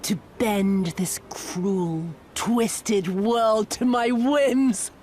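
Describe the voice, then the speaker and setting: A woman's voice: on the high side, a little mellow, slight background noise. An actress voicing a cruel, sadistic female spy villain in a video game cutscene, theatrically bitter and savoring each dramatic word. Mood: sadistic, rapturous. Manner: slow, dramatic, savoring words, dropping to whispers.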